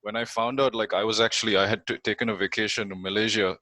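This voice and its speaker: A young man from Sri Lanka, a hip hop artist talking casually as a guest, relaxed and peppering his talk with "bro". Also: fairly low pitch, fast talker, no echo. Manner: relaxed, says "bro" and "you know". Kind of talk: casual conversation.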